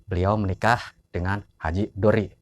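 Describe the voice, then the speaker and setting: A man's voice: deep voice, fairly even intonation, normal speed. A man, giving an informal biographical narration as he lists names and years. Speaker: narrator of family history.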